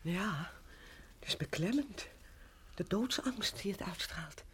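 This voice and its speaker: An actress playing an anxious, considerate guest in a radio drama, hesitant and apologetic, stammering politely. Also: female voice, fairly low pitch, expressive, slow, slight background noise. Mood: awed, uneasy.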